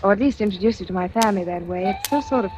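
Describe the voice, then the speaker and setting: A woman's voice: mid-range voice, very fast, lightly acted. An actress playing an eager, kind-hearted young woman in 1940s radio drama, chatty and tentative in her asides. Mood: bright, excited. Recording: noisy old radio broadcast.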